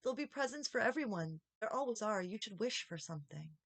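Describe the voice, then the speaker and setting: A woman's voice: mid-range voice, lively intonation, fairly quick, no room sound. A woman voicing a friendly, candid young girl in a storybook, speaking invitingly. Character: friendly, candid young girl who treasures her pets. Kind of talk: storybook character reading.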